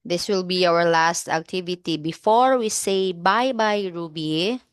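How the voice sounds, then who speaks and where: A woman's voice: lowish voice, measured pace, smooth, no room sound, studio quality. A woman in her twenties teaching a one-on-one online English lesson, explaining step by step and encouraging her student over a video call. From Philippines. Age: 20s.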